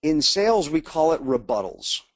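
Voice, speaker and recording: A man's voice: fairly high pitch, very animated, moderate pace, no echo. An American man leading a casual webinar as a sales trainer, chatting informally and speaking straight to his attendees.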